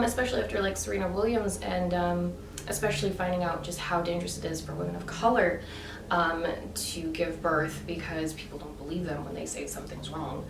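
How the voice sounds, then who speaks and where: A woman's voice: lowish voice, vocal fry, echoey room, far from the mic, poor audio. A woman in her thirties talking earnestly and emphatically in a casual monologue, with many fillers. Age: 30s. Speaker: vlogger.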